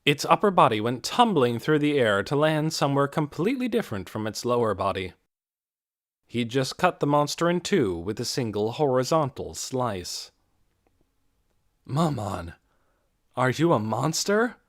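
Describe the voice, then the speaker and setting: A man's voice: medium pitch, very animated, normal speed, no echo, studio quality. A man reading a fantasy audiobook as dramatic scripted narration.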